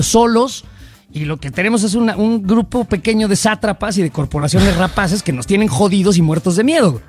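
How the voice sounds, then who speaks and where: A man's voice: high voice, a little mellow. A Mexican man hosting a casual chat show, blunt and heated and swearing freely. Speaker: show host. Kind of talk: casual chat about football and politics.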